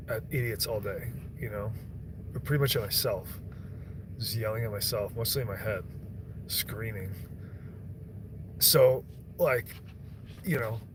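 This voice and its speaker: A man's voice: mid-range voice, a little hiss or hum. A young man on a stream, rambling and swearing in casual chat, often breaking off and restarting his sentences. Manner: rambling, profane, self-interrupting.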